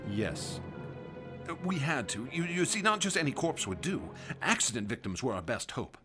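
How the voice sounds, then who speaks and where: An actor playing a nervous doctor who recalls grim events in a radio drama, earnest and hesitant. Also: male voice, medium pitch, sing-song, normal speed, music in the background, lightly acted. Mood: confessing. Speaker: narrator and assistant. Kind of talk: radio drama acting and narration.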